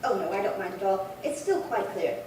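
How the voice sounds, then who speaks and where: A woman's voice: medium pitch, animated, a little fast, natural voice. A woman playing a chatty, dithering elderly single lady in an old-time radio drama, rambling politely and fussily. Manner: rambling, fussy, politely fluttery. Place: live theatre performance space. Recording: very echoey hall, distant, faint noise.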